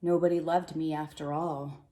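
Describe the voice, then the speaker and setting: A woman's voice: sits low, level tone, normal speed, mellow, close to an everyday voice. A woman voicing a kind, polite, lonely man in a storybook, in a roomy recording. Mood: dejected.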